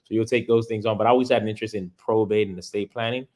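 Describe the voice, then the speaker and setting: An American man in his thirties, from Miami, speaks in a fairly low voice as an interview guest. He sounds like a professional breaking his field down for ordinary people. His delivery is conversational and earnest, moving step by step. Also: fairly even intonation, racing, a little mellow. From Miami, United States. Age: 30s. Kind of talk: casual explanatory interview talk.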